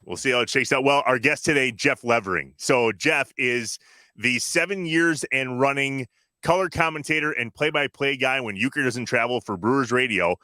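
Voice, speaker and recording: A man's voice: mid-range voice, moderate pace, bright, forceful tone, no echo, studio quality. A man hosting a sports podcast in a casual, conversational style. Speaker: podcast host.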